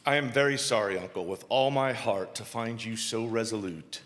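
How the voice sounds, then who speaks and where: An actor playing a cheerful, earnest, warm-hearted nephew in live radio theater, pleading and expressive. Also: male voice, fairly low pitch, normal speed, natural voice. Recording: roomy hall with long reverb.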